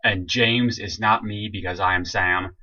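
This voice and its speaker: A young man co-hosting a casual podcast, tossing in goofy jokes with a deadpan delivery. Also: low-pitched, unhurried. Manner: goofy, joking deadpan asides. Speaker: podcast co-host. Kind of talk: casual chat. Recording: dull lo-fi recording.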